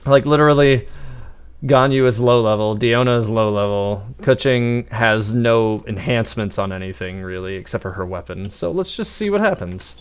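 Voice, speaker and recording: A man's voice: on the low side, normal speed, clean tone. A man giving casual, rambling live gameplay commentary, thinking aloud as he streams. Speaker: game livestreamer.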